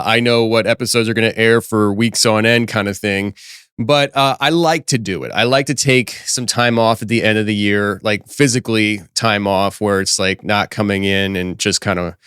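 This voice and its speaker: Chatty host with a hoarse voice. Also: male voice, medium pitch, fairly bright tone, no echo, studio quality. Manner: rambling, talkative, many fillers and restarts. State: slightly hoarse, losing his voice. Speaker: podcast host. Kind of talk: casual live-stream chat. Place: office studio.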